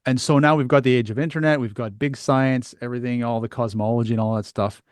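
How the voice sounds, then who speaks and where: A middle-aged man hosting a conversational podcast interview, thinking aloud in a halting way with restarts. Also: mid-range voice, fairly even intonation, clean tone, no echo. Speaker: podcast host.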